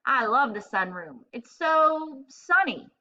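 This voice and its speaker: A woman's voice: high voice, sing-song, slow pace, bright, forceful tone, lo-fi sound, voice pushed up, full character voice. Woman putting on a big goofy happy dog.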